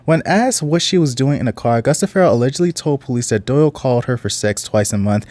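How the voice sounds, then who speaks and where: An earnest man with a deep voice, giving casual, reflective reaction commentary. His pitch sits in a middle range, and the recording is dry and close to the mic, with no room sound. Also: expressive, quick pace, darkish tone, no echo. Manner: earnest, reflective, sometimes reads out case details.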